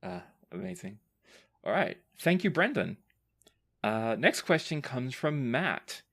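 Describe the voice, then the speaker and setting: Effusive podcast host, medium pitch. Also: very animated, takes it slowly, a bit bright. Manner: effusive, thankful, occasional swearing. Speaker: host and game master reading listener questions. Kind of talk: podcast question-and-answer hosting.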